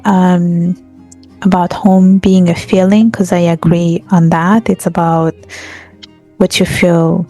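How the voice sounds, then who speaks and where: A woman's voice: fairly low pitch, dark tone. A woman hosting a podcast interview, talking in a conversational, hesitant way with fillers. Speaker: podcast host.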